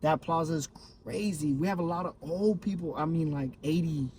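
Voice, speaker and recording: A young man from Miami chatting on a podcast, talking in a slightly high voice and swearing freely as he tells stories. His delivery is animated. A faint noise hums behind him. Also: moderate pace. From Miami, Florida. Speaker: comedian guest. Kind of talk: casual podcast chat.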